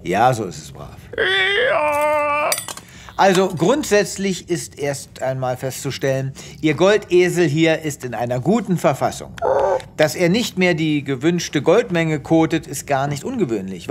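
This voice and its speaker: An actor playing a self-important space veterinarian with a pompous manner in a comedic audio drama. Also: male voice, on the high side, sing-song, unhurried, slight background noise. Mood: brisk, kindly. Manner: pompous, reassuring bedside chatter.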